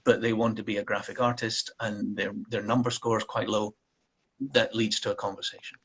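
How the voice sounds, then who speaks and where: Guy, husky voice, easygoing interview answers, lots of ums. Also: lowish voice, brisk. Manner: relaxed, frequent ums, self-deprecating. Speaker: college counselor guest.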